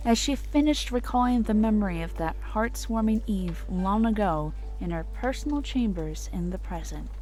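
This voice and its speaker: A woman's voice: mid-range voice, animated, normal speed, few pauses, warm tone, faint music behind, close to an everyday voice, low-quality recording. A woman reading as the narrator of a dramatic storybook tale.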